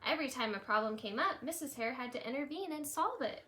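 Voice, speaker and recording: A woman reading a storybook aloud for children, with chatty, simple asides and wondering out loud. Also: high voice, lively intonation, fast. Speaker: storybook reader, teacher.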